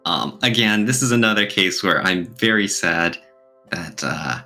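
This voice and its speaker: A man's voice: low-pitched, lively intonation, unhurried, bright, forceful tone, faint music behind. A man chatting casually as a podcast co-host, talking in an enthusiastic way.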